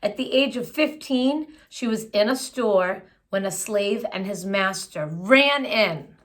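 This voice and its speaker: A woman's voice: mid-range voice, expressive, slow pace, a bit bright. A woman reading a children's picture book aloud, phrasing it expressively and adding simple explanatory asides for young listeners. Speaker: children's story reader.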